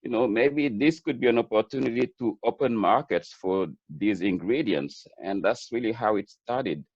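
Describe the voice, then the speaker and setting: A middle-aged man with a Senegalese accent speaks in a fairly low voice. He answers conversationally as a guest, earnest and reflective, often repeating words as he builds a point. The sound is dry and somewhat dull, like lo-fi webinar audio. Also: moderate pace, darkish tone, slightly rough, no echo. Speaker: webinar guest, chef and food entrepreneur.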